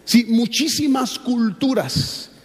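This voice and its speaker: A man's voice: extremely high pitch, slow pace. A middle-aged man with a Mexican accent preaching a sermon, teaching emphatically with repetition and rhetorical questions. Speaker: pastor preaching. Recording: reverberant large hall.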